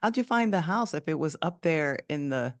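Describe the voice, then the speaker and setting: A man's voice: on the high side, expressive, normal speed, no echo. A man hosting a podcast, asking curious follow-up questions in an easy conversational way. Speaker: podcast host. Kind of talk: conversational interview.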